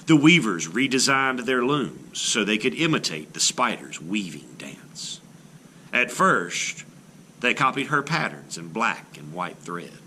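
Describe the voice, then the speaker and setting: A man reading a children's story aloud in an expressive, theatrical style, like a teacher to a class. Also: medium pitch, slight background noise. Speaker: art teacher reading a picture book aloud.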